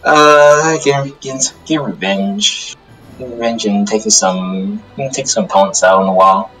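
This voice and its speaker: A man plays a vengeful but apologetic demon who keeps to himself. The voice is low and wary, halting and doubling back on phrases, in an improvised anime game roleplay. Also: sharp tone, soft background music, rough recording. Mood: hesitant, wary. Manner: halting, repeats his phrases.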